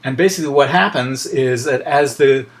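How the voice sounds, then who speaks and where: Man giving a halting, informal lecture. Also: mid-range voice. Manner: halting, thinking aloud, with many hesitations.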